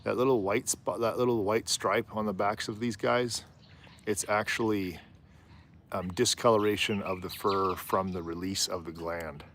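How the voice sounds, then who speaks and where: Low-voiced easygoing man. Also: steady tone, moderate pace, a bit bright, slight background noise. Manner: rambling, easygoing, often trails off and laughs. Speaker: livestream host. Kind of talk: casual live commentary. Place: outdoors on a rooftop.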